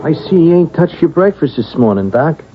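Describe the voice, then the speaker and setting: An actor playing a chummy, slangy streetwise sidekick with a raspy voice in a vintage radio drama. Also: male voice, fairly high pitch, brisk. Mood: concerned, coaxing. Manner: colloquial, excitable, familiar. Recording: dull vintage radio broadcast.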